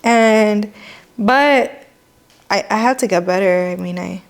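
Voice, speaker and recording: A woman's voice: medium pitch, takes it slowly, clear, resonant tone. Chatty young woman mixing in Spanish. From Mexico. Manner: mixes Spanish phrases into English, chatty and animated. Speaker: podcast host and lash artist. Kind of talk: casual chat.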